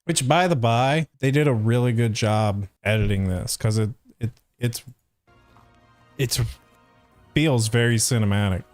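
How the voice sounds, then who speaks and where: A man's voice: mid-range voice, unhurried, a little mellow, no room sound, soft background music. A man giving casual, hesitant stream commentary, thinking aloud as he reviews a dub. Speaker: voice actor reviewing a fandub.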